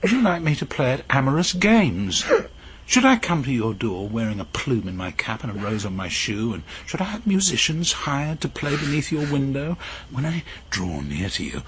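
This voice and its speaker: An actor playing a cruel, jealous aristocratic husband, sneering and mocking in a period radio drama. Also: male voice, mid-range voice, background noise. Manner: sneering, theatrical.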